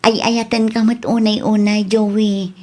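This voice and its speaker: A woman's voice: mid-range voice, level tone, slow, dark tone. A woman playing an affectionate, grateful young village wife with an Ilocano accent in a radio comedy drama. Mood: grateful, tender.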